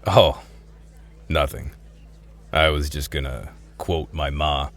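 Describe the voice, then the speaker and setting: An actor playing a streetwise reformed thief in a radio drama, with a casual, wry way of talking. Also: male voice, rumbling low, level tone, slow, fairly bright tone, exaggerated voice. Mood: flirtatious, wry. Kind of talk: radio drama acting and first-person narration.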